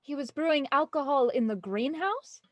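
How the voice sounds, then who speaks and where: A well-bred young heiress in a period radio drama. Her voice is high and closely recorded, very dry of room sound, with polite, proper phrasing and an anxious, easily flustered edge. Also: lively intonation, moderate pace, no room sound. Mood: shocked. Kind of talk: audio drama acting, Victorian murder mystery radio program.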